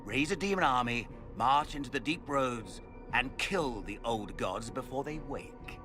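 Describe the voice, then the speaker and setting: An actor playing a smug, theatrical villain, a cultist mage lord with a pompous, mocking manner in a video game cutscene. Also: male voice, mid-range voice, lively intonation, a little slow, music in the background, lightly acted. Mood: gloating.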